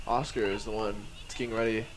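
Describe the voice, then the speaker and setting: Hoarse excitable man calling a match. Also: on the low side, quick pace, noisy background. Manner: excitable, chuckles often, shouts on goals. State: slightly hoarse. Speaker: play-by-play commentator. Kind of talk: live sports commentary.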